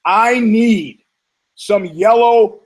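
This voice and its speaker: Male podcast host, hoarse and husky, slightly high-pitched, loose and profane, rambling monologue. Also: very slow. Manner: rambling, profane, frequent restarts.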